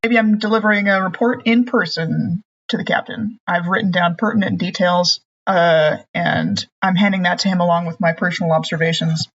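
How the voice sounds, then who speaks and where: A player voicing a meticulous crew member who gives a measured, matter-of-fact report to the captain over a slightly muffled mic. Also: medium pitch, fairly even intonation, a bit bright. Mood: earnest.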